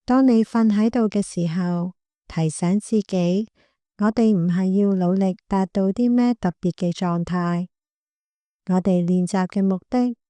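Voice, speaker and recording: A woman with a Hong Kong Cantonese accent guiding a meditation in a gentle, calm, unhurried manner. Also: fairly low pitch, no echo. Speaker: meditation guide, clinical psychologist. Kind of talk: guided body scan meditation.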